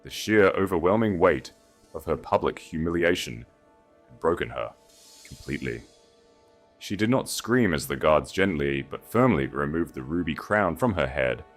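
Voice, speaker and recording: A man reading a dramatic story as an audiobook narrator, solemn and with deliberate emphasis. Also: extremely low pitch, animated, faint music behind.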